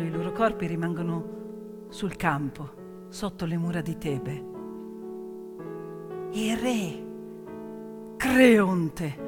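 A woman performing theatrical narration as a stage storyteller, emphatic and dramatic, with deliberate pauses and hushed drops. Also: on the high side. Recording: hall reverb with piano music behind. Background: piano music.

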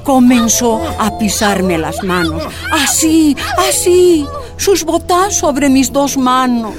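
A woman's voice: high-pitched, expressive, takes it slowly, music in the background. An actress playing a tortured woman activist, recounting her imprisonment in anguished, emphatic first-person narration. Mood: pained.

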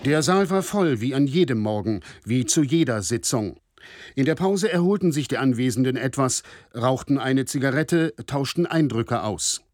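Actor as lively-pitched male radio reporter. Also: moderate pace, close to an everyday voice. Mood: formal, descriptive. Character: male radio reporter covering a war-crimes trial. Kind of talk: scripted radio reportage narration.

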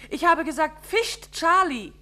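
An actress playing a detective's wife in a 1950s radio play, worried and probing, with a quick, searching manner. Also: female voice, sits high, expressive, pushed and bright, full character voice. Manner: anxious, probing.

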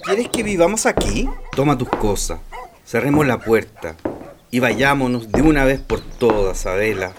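An exasperated husband in a radio play, insistent and full of reproach. His pitch moves around in an animated way, and there is clear noise and clicking on the recording. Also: a little slow. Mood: reproachful, exasperated. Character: impatient, exasperated husband, weary of family ghosts. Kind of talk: Spanish-language radio drama acting.

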